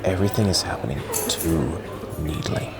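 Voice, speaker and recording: A man's voice: low-pitched, moderate pace, a crowd in the background. A man playing an anxious, obsessive young horror fan in a found-footage audio drama, speaking in a hesitant, rambling way. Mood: anxious, agitated.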